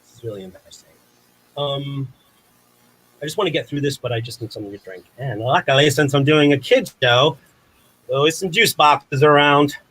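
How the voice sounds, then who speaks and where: A man's voice: medium pitch, brisk, long pauses, sharp tone, husky, low-quality recording. A man performing a rambling comic monologue to camera, flustered, apologetic and self-deprecating, with theatrical exclamations. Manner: theatrical, exclaims in sudden outbursts. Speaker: comedic performer in an online showcase.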